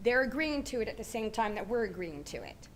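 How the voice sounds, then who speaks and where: A woman's voice: on the high side, fairly quick, slight background noise. A woman with a Southern American accent, a city transportation official, methodically explaining policy at a public meeting. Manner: steady, methodical, repeats points for clarity. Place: large meeting room.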